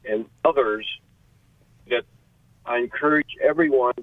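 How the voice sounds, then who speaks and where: A man's voice: medium pitch, measured pace, dry recording. Man in his late sixties, caller, phone-line sound, dry, rambling and earnest. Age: 60s. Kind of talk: call-in radio chat. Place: in a car pulled over.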